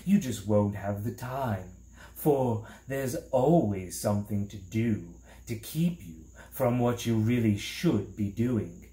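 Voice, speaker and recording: A man voicing a sly, menacing demon of petty tasks in a storybook, with a drawn-out, theatrical, coaxing delivery. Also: medium pitch, warm tone, roomy sound.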